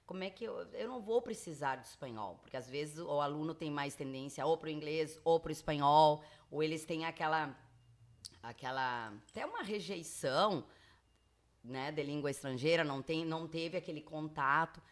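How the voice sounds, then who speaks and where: A woman with a Spanish accent, a teacher talking in a measured, explanatory way on a school podcast, with many fillers. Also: low-pitched.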